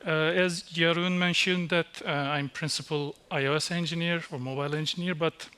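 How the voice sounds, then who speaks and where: A man, giving a technical conference talk on a dark stage, halting with fillers and restarts. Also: on the high side, fairly even intonation, clean tone. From Turkey. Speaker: conference speaker. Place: dark stage in a small venue.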